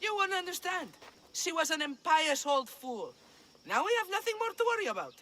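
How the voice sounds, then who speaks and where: Hot-headed village sister for a video game, bright, piercing, dismissive; faint noise behind. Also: female voice, very high voice, very animated, moderate pace, full character voice. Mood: angry, dismissive. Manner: agitated, dismissive. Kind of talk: dramatic video game cutscene acting.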